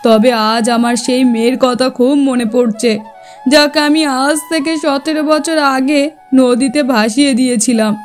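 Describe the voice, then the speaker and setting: A woman's voice: high-pitched, normal speed, soft background music, lightly acted. A woman voicing a remorseful, lamenting king who has lost everything, in melodramatic cartoon fairy-tale style. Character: ruined, remorseful old king lamenting his fate.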